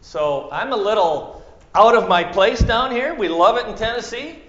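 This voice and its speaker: A man from central Minnesota with a Minnesota accent, preaching a sermon with dramatic swings from loud to hushed. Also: high-pitched, lively intonation, moderate pace. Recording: echoey room, muffled sound.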